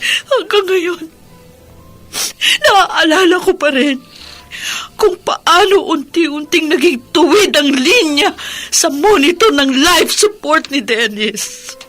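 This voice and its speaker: A grieving widow in a radio drama, with a very high, bright voice. She pleads emotionally, haunted by loss, her pitch swinging widely. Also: lively intonation, faint music behind, full character voice. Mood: anguished, tearful. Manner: emotional, tearful, drawn-out pleading. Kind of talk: Filipino radio drama acting.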